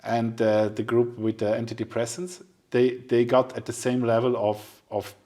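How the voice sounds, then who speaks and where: A man's voice: mid-range voice, flat delivery, normal speed, dark tone. A man in his forties hosting a casual interview, searching for words with non-native phrasing. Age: 40s. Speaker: podcast host.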